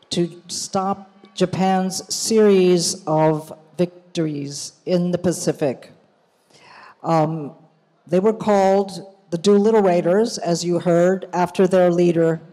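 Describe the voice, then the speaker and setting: Slow-spoken woman speaker in a reverberant hall. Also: lowish voice, mellow. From United States. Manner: measured, storytelling, with fillers and restarts. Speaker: journalist and guest speaker. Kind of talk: prepared public talk. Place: hall at a commemorative event.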